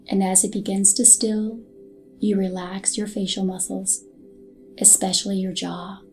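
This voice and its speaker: A woman narrating a scripted hypnotic guided meditation in a soothing, soft and unhurried manner. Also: medium pitch. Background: background music.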